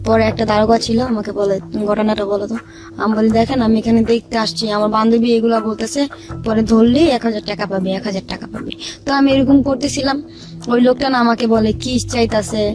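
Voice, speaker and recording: A woman's voice: a little high, steady tone, a little fast. A woman with a Bangladeshi accent tells her life story informally as a guest, acting out the dialogue as she goes. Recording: music under the voice.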